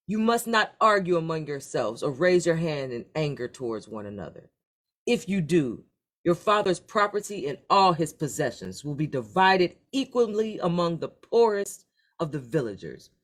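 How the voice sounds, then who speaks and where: Stern storybook village chief, played by a woman, solemn and measured, formal decrees with animated pitch. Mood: solemn, commanding.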